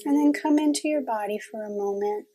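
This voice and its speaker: A woman leading a guided meditation in a calm, gentle, unhurried manner with long pauses. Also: fairly high pitch, faint music behind.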